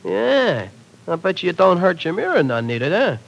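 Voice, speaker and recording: A cocky streetwise wisecracker. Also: male voice, high voice, sing-song, normal speed, creaky, full character voice. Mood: flirting.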